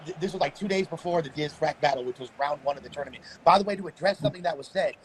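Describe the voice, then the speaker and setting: A man in a casual chat, explaining at length in a measured way. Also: a little high, a little hiss or hum, low-quality recording. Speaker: battle rap league guest.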